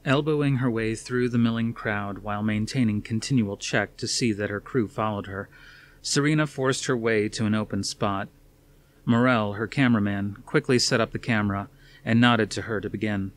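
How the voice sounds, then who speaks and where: A man's voice: on the low side, moderate pace, a little hiss or hum. A man reading a dramatic story as scripted audiobook narration.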